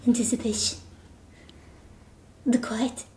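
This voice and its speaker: A woman's voice: a little high, level tone, slow, warm tone. A woman voicing a grim, commanding piece of bubble gum in an audio comedy, with clipped, dramatic pronouncements in a roomy space. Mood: tense, commanding. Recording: roomy sound, faint background noise.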